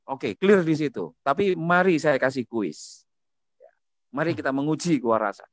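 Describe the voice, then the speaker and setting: Animated man giving a lively talk. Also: a little high, moderate pace, no room sound. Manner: animated, poses quiz questions. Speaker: speaker at a discussion.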